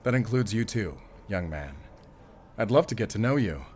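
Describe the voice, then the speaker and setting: A man's voice: on the low side, expressive, normal speed, a little mellow, slight background noise, lightly acted. An actor playing a middle-aged, wealthy, doting father in an audio drama, with a pompous, emphatic way of talking. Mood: warm fatherly. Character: wealthy, doting, commanding father of a grown son.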